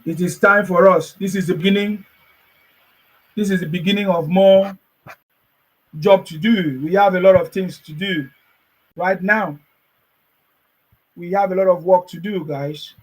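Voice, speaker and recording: Guy, voice gone rough from chanting, talks slow and high, happy, keeps repeating himself to his people, faint music behind. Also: steady tone, low-quality recording. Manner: joyful, repetitive, exhorting. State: voice worn from chanting. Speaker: political supporter addressing followers. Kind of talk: excited celebratory address.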